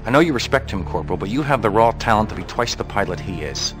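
A middle-aged actor playing a stern, embittered military colonel in a game cutscene, terse and authoritative. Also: male voice, lowish voice, expressive, quick pace, over music, close to an everyday voice. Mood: cold, bitter. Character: stern, embittered male colonel, a commanding officer. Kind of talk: live-action military sci-fi acting.

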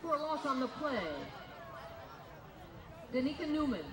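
High-pitched male football announcer, echoey. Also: measured pace. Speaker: stadium announcer. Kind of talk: live American football play-by-play announcing. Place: outdoor football stadium. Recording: echoey outdoor PA with loud crowd noise. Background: loud crowd and outdoor noise.

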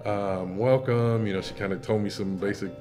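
A man's voice: on the low side, steady tone, normal speed. A middle-aged American man, a pastor, speaking casually in an interview. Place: indoor church sanctuary, seated interview. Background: guitar music.

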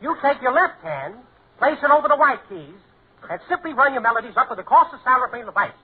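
An actor playing a fussy piano professor in a 1940s radio comedy, confidently rattling off garbled nonsense jargon. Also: male voice, very high voice, sing-song, quick pace, husky, full character voice. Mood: confident, instructive. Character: fussy male piano professor spouting nonsense double-talk. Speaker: comic actor. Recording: noisy, narrow-band 1940s radio recording.

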